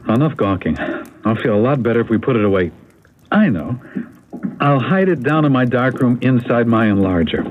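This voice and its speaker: An actor playing an eager, confident husband hunting treasure in a 1970s radio mystery. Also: male voice, mid-range voice, expressive, normal speed, close to an everyday voice. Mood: pleased, cautious. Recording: tinny, noisy old AM radio broadcast.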